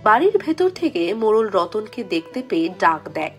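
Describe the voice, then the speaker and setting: A woman's voice: mid-range voice, sing-song, moderate pace, faint music behind. A woman storyteller giving scripted fairy-tale narration for a cartoon.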